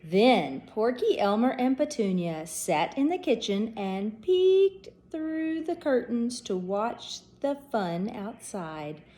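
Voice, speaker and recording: A woman P.E. teacher reading a children's storybook aloud, clear and expressive. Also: fairly high pitch, slow pace, few pauses.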